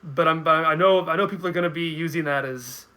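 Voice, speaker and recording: A man's voice: on the high side, fast, bright, forceful tone. A man in his thirties chatting casually as a podcast guest, thinking aloud with hesitant, repeated words. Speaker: guest commentator. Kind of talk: casual video podcast chat.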